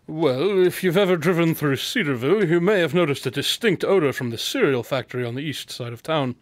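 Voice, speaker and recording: Man playing a self-assured, higher-voiced detective. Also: expressive, fairly quick, dry recording, close to an everyday voice. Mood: self-assured, deductive. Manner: precise, formal, self-assured diction. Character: confident, clever male detective, coolly insistent and superior. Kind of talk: radio drama acting.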